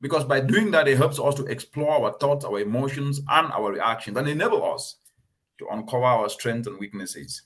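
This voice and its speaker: A man's voice: mid-range voice, expressive, a bit bright. Earnest man hosting a talk. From Cameroon. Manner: earnest, emphatic, measured. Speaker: host. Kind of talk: talk show discussion.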